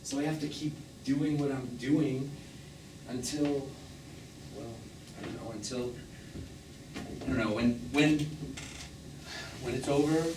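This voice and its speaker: A man's voice: mid-range voice, steady tone, takes it slowly, long pauses, lightly acted. Man acting restless younger man, echoey distant stage. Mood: frustrated, unsettled. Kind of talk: live stage play monologue. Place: small theatre stage, recorded from the audience. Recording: echoey theatre from far off, noisy.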